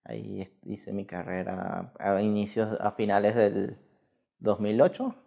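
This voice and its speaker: A man's voice: on the low side, a little slow, vocal fry, dark tone. A Venezuelan man in his thirties giving casual, rambling interview answers with frequent self-corrections. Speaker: guest researcher in mathematics.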